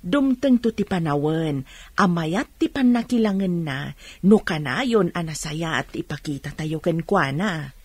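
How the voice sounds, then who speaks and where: A woman acting a kind, patient mother who gently counsels, in Ilocano radio drama style with a Filipino accent. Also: on the low side, expressive, unhurried, husky, no room sound. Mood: gentle, concerned.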